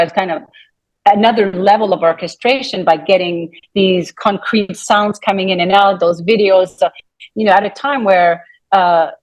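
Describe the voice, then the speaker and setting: A woman with a medium-pitched voice moderates an informal arts conversation. Her questions and remarks meander, and she often breaks off mid-sentence to start again. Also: darkish tone, poor audio. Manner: meandering, self-interrupting. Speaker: moderator from an opera company. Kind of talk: conversational interviewing.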